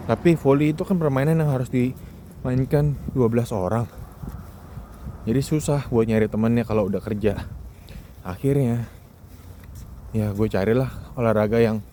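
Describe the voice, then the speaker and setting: A man's voice: medium pitch, dark tone. A man around thirty, rambling casually in a conversational solo monologue full of rhetorical questions. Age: 20s or 30s. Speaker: solo podcast host. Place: outdoors, walking along a street. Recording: outdoor urban noise, passing vehicles. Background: clear traffic and ambient noise.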